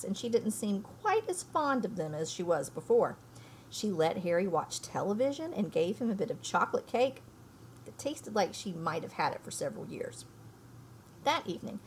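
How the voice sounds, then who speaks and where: Animated woman reading a storybook aloud. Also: medium pitch, a little hiss or hum. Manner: explanatory, checks understanding with listeners. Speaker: reader reading a children's book aloud. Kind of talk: expressive read-aloud with informal explanatory asides.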